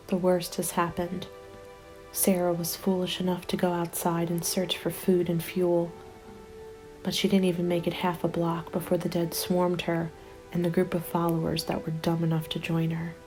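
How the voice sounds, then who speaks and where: Hushed woman acting a haunted apocalypse survivor, half-whispered. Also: fairly low pitch, little pitch movement, natural voice, rough recording. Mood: grieving, bleak. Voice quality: soft, breathy whispering. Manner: hushed, intimate, deliberate. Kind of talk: ASMR roleplay, dramatic monologue. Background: background music.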